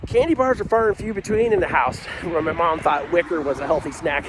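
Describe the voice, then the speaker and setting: A middle-aged American man with a slightly high voice tells a story casually, wandering into self-deprecating comic asides. He is recorded amid clearly audible outdoor noise, with vehicles in the ambience. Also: brisk.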